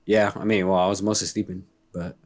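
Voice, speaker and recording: A man co-hosting a casual sports podcast, laid-back and joking with quick quips, his voice a little hoarse from a recent illness. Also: low voice, little pitch movement. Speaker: podcast co-host. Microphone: remote call recording.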